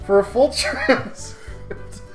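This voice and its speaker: A man talks at an unhurried pace in a roomy, echoey space with music underneath. He banters casually as a co-host, teasing and sounding mock-exasperated. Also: very high-pitched, huge pitch swings. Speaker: let's play co-host.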